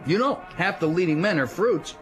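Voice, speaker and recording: A man's voice: high-pitched, lively intonation, normal speed, soft background music. An actor playing a crude, bragging middle-aged American father in a radio drama, with slangy, leering talk. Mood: boastful, leering.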